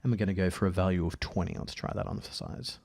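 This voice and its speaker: Low, creaky man thinking aloud. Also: fast, darkish tone. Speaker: audio editing tutorial presenter. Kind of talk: casual software tutorial narration.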